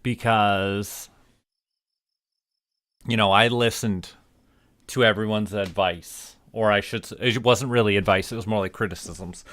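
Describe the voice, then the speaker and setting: A man chatting casually on a livestream as host, rambling and halting in asides to viewers. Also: on the low side, steady tone, fairly bright tone, no room sound. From Canada. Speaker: streamer, VTuber host.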